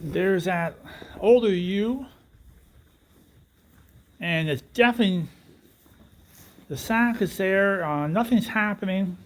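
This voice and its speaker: A man's voice: high-pitched, slow pace, pauses often. A middle-aged man, a sheep farmer, chatting casually and cracking folksy jokes while he works. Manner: joking, tells folksy one-liners. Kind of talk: casual chat and farm narration. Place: farm barn and fields. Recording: faint farm background noise.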